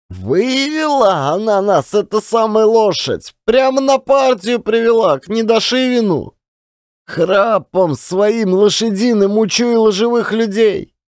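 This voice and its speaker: A man voicing a pious old Siberian peasant telling his story in folksy, drawn-out, old-fashioned speech. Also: high voice, a little mellow, no room sound, studio quality, pitched above the performer's own voice. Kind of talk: dramatised audiobook storytelling.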